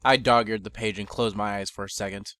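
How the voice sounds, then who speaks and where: A man reading a first-person fantasy story as narrator, in a measured, bookish dramatic reading. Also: lowish voice, steady tone, bright, forceful tone, clean tone, no room sound.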